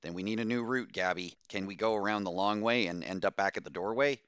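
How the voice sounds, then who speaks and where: A man voicing a firm, bright-toned woman commander. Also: on the low side, smooth, dry recording, dull audio, lightly acted. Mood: commanding. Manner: authoritative, measured. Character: firm, reassuring female expedition commander.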